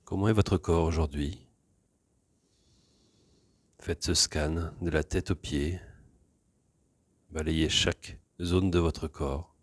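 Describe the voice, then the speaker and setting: A man teaches yoga in a low, slightly breathy and husky voice. He guides posture and breath gently and without hurry. The sound is close and dry. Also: no echo. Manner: calm, unhurried, gently instructing. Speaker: yoga teacher leading a class.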